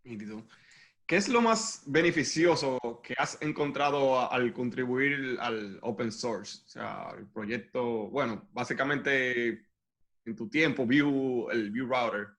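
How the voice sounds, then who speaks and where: A man's voice: mid-range voice, takes it slowly, a bit bright. A man hosting a podcast, asking the guest questions and laughing along. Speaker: podcast host. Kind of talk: casual podcast interview.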